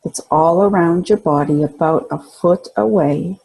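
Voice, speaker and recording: A woman leading a guided imagery meditation in a calm, soothing, instructional way, leaving pauses between phrases. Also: fairly low pitch, gravelly, breathy edge, low-quality recording.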